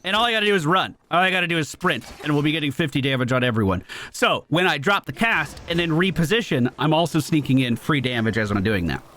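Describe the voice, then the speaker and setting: A man giving casual live gameplay commentary as a streamer, slangy and excitable, with some swearing. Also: fairly high pitch, fast, hardly any pauses, a little hiss or hum. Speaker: gaming streamer. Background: faint game music.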